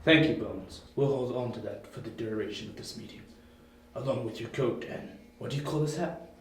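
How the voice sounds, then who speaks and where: A man playing a polite, controlled crime boss with formal, measured manners in an amateur audio drama. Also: medium pitch, close to an everyday voice. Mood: coolly polite. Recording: echoey room, faint noise.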